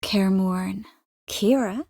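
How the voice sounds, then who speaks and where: An actress playing a caring, wry and determined adult sorceress in a video game, with an earnest, expressive dramatic delivery. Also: female voice, medium pitch, extremely slow, breathy edge, no room sound, clean studio sound, full character voice. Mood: earnest, composed.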